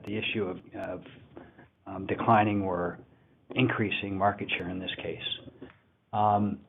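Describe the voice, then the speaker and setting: A male company executive giving halting, measured, unscripted answers on an investor call. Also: on the low side, a little hiss or hum. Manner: halting, measured, frequent pauses. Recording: tinny phone line.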